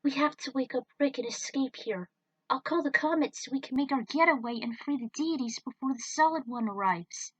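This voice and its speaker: Young sci-fi adventure heroine, acted by a female narrator: urgent, earnest, pitched up. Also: fairly quick, dry recording, recorded from a distance, low-quality recording.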